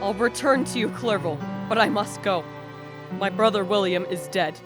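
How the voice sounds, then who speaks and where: A woman's voice: mid-range voice, normal speed, music in the background, lightly acted. A woman playing an anguished, guilt-ridden young man in a radio play, earnest and formally dramatic. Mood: sombre.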